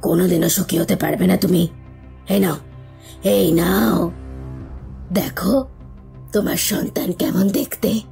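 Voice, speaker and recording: A woman's voice: on the low side, a little slow, vocal fry, pauses often, mellow, soft background music. A woman playing the vengeful ghost of a wronged mother in a horror audio story, with a pale, drained voice and a bitter, mocking manner. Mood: vengeful taunting. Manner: mocking, reproachful.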